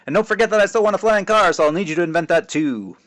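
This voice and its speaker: A man voicing a loud, jokey, theatrical father from a children's book, proclaiming in a booming, mock-grand way. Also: expressive, quick pace. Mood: boastful, teasing. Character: loud, jokey, theatrical dad embarrassing his son. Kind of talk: expressive children's book read-aloud.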